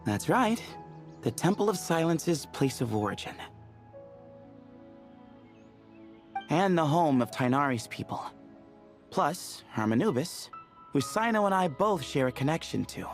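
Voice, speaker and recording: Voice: mid-range voice, sing-song, moderate pace, soft background music. An actor voicing a confident, breezy young leader of a desert temple in a video game, with a casual, wry manner. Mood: assured. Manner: casual, wry, self-assured. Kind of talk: video game voice acting, conversational.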